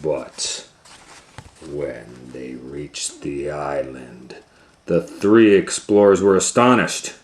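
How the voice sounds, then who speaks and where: A man hosting storytime, reading a children's story aloud in a theatrical way with dramatic pauses and swells. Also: extremely low pitch, darkish tone. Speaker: storytime reader and host. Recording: roomy, echoing sound.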